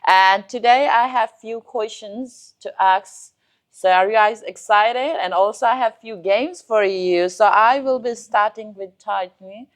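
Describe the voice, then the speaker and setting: A woman's voice: mid-range voice, unhurried. A woman hosting an interview, asking prepared questions in a chatty, encouraging and affirming way. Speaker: host interviewer. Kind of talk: scripted questions with casual chat.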